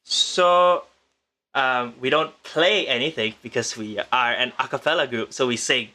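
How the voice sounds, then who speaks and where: A young man from Penang, Malaysia, a guest musician answering interview questions in a measured, thoughtful way, often restarting his sentences. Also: mid-range voice, bright, forceful tone. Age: young adult. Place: remote location in the Philippines.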